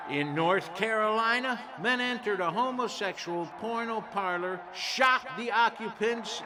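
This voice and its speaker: Man playing a high-pitched, ominous zealot orator. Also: background noise. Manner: declamatory, ominous, measured. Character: fanatical male vigilante preacher reciting attacks with grim pride. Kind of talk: dramatic oratory in audio play. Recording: loud crowd behind the speech.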